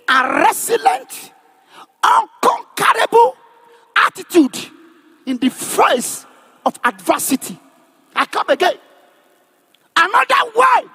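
High-pitched, emphatic male preacher. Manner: emphatic, declamatory, often shouting; repeats phrases for emphasis. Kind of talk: charismatic sermon with call and response.

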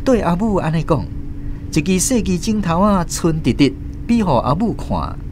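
A man's voice: sits high, big pitch swings, normal speed, darkish tone. A man with a Taiwanese accent narrating a scripted radio drama. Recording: faint vehicle noise behind. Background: car or bus ambience.

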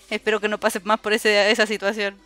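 A woman's voice: mid-range voice, level tone, normal speed, fairly bright tone, clear, resonant tone, soft background music. A Chilean woman hosting a casual voice chat on her stream. She is chatty, uses a lot of slang and laughs often. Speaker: streamer host.

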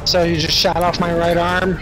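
Higher-pitched man chatting over a game. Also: level tone, moderate pace, low-quality recording. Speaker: tabletop game player. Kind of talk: casual game chat. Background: music.

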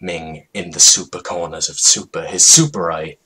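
A man with a husky voice giving a mock-dramatic, emphatic comedic reading of a story as its narrator. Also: low-pitched, steady tone, moderate pace, bright, forceful tone.

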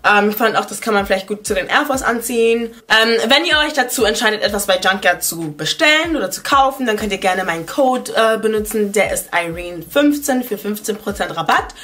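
Casting: woman in her twenties, lifestyle vlogger, bright and chatty, playful asides, talking to camera from her living room. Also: medium pitch, lively intonation, fairly quick, hardly any pauses. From Ghana. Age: 20s. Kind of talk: casual chat to camera. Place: living room at home, indoors.

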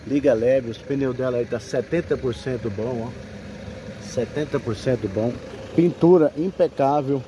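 A Brazilian man with a mellow, relaxed tone and an average pitch talks informally, like a broker pitching a used car. He repeats his key points as he addresses viewers. He is recorded outdoors at a roadside, with faint street noise behind him. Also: unhurried. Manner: informal, repetitive sales patter. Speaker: used car broker presenting a vehicle for sale. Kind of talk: casual sales walkaround video, addressing viewers.

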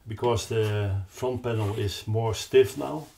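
An older man with a Dutch accent explains a product off the cuff, haltingly searching for words. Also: on the low side, fairly even intonation, vocal fry, background noise. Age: 60s or older. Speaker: loudspeaker designer presenting his product. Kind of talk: unscripted explanatory product talk. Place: carpeted, wood-panelled listening room indoors.

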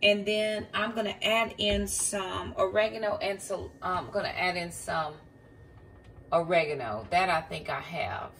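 A woman's voice: fairly low pitch, a little slow, fairly bright tone. A woman, a mom vlogger, talking through a casual cooking walkthrough in her home kitchen, explaining each step with a few ums. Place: home kitchen counter indoors. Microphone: phone camera pointed down at the counter. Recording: voice a bit far from the mic, faint background noise.

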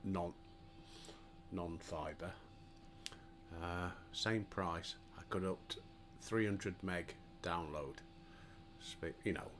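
Older Yorkshire gamer, low, unhurried, self-deprecating, home headset mic. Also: male voice, background noise. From Yorkshire, England. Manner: chatty, self-deprecating, talks to himself while playing. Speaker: gaming streamer. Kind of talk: relaxed gameplay commentary.

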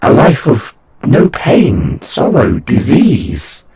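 A man voicing a condescending alien angel envoy in an audio roleplay, speaking in a halting, solemn, formal way with a breathy, husky voice. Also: mid-range voice, wildly swooping pitch, darkish tone, heavily acted. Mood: grave, persuasive. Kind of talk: scripted audio roleplay monologue.

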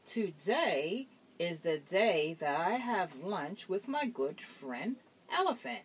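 A woman voicing a forgetful, fretful rhinoceros in a children's storybook, with deliberate, expressive delivery. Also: on the low side, slightly rough, exaggerated voice. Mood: content. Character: forgetful, fretful rhinoceros searching for his lunch.

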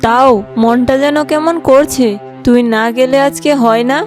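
A woman's voice: sits high, steady tone, unhurried, a little mellow, faint music behind. A woman voicing an anxious, caring village mother in a cartoon fairy tale. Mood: worried.

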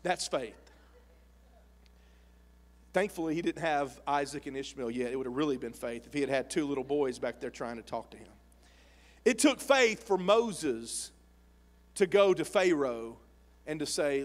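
A man in his forties preaching a sermon on a church stage into a handheld mic, animated and emphatic, rising to shouts. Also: mid-range voice, a little slow, pauses often. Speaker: pastor. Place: large church sanctuary stage with audience. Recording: long hall reverb.

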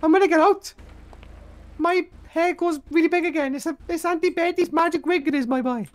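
A woman's voice: very high-pitched, fairly quick, a little mellow, dry recording. A woman, brash and flirty, swearing freely and calling people pet names in an improvised comedy sketch.

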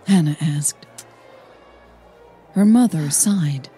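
A woman hosting a podcast and narrating a romance story in a calm, measured, scripted reading. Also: low voice, faint music behind.

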